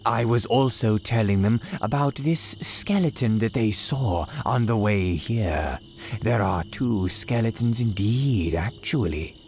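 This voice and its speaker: A man playing a courteous, gleefully sinister cemetery keeper, telling horror tales in grand, archaic, drawn-out phrases like old-time radio drama. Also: lowish voice, animated, a little mellow, slight background noise. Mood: courteous, macabre. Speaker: horror storyteller host.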